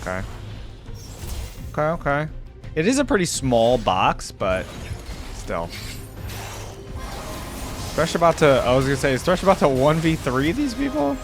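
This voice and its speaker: A man's voice: on the high side, very animated, a little slow, long pauses, music in the background. A man giving casual reaction commentary, thinking aloud in a tentative way and sometimes reading text out. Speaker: reaction video host.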